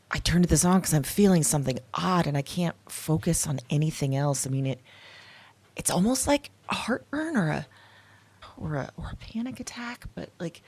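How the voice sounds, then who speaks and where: A woman with a deep, husky voice speaks into her audio journal in a drama. She talks hesitantly and confessionally, often slipping into a whisper. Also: darkish tone. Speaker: narrator keeping an audio journal.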